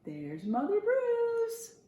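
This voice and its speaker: A woman's voice: very high-pitched, wildly swooping pitch, drawn-out words, mellow. An American woman reading a picture book aloud to children as a school media specialist, with conversational explanatory asides. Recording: roomy echo.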